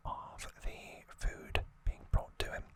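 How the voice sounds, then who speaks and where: An ASMR host telling a story in a breathy, unvoiced whisper, gentle, unhurried and informal. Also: dry recording.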